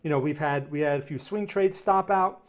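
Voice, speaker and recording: A man's voice: fairly high pitch, moderate pace. A man with a New Jersey accent hosting a live trading stream, answering viewer questions in a rambling way, often repeating words and tagging sentences with "right?". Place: home studio near New York City.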